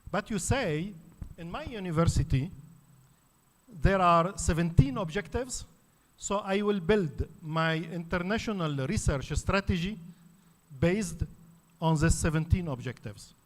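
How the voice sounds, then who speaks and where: A man's voice: high voice, animated, a little slow. A man from Lebanon, a native Arabic speaker, giving an academic conference talk in an explanatory way with frequent fillers and restarts. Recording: reverberant hall.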